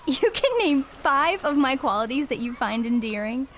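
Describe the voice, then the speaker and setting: A woman's voice: sits high, sing-song, moderate pace, fairly bright tone, slight background noise. An actress playing a free-spirited, openhearted but self-doubting woman in an audio drama, earnest and musing. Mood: unsure, wistful.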